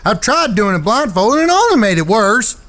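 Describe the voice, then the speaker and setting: A man acts out an excitable frontier outlaw for an audio drama. His voice sits high for a man and jumps around in pitch. He speaks in a folksy, stubborn drawl. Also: fairly quick. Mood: frustrated. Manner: folksy, ungrammatical cowboy drawl. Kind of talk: western audio drama acting.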